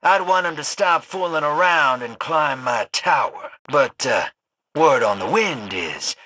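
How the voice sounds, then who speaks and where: An actor in a video game playing a roguish, wisecracking drifter with a gravelly voice and folksy, slangy phrasing. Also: male voice, on the high side, animated, a little slow, bright, forceful tone, dry recording, full character voice. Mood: teasing. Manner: folksy, slangy, teasing drawl of a rogue. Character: roguish, wisecracking, streetwise male drifter and schemer.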